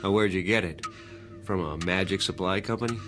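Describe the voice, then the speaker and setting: A man's voice: sits low, a little fast, over music, lightly acted. An actor playing a jaded, skeptical working man in a radio drama, with a wry, dismissive, colloquial way of talking.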